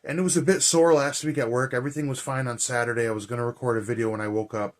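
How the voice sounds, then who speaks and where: A man with a hoarse, raspy voice, chatting casually and rambling with lots of fillers as a movie vlogger. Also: mid-range voice, steady tone, very fast. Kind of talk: casual chat.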